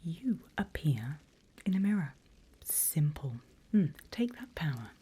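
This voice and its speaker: A woman voicing a seasoned, wry demon instructor in a slow, breathy ASMR whisper, guiding a trainee with dry humour. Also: low-pitched, very animated, warm tone, slight background noise, heavily acted. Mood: hushed, instructive. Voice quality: breathy, mostly unvoiced whisper. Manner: slow, deliberate, dryly humorous.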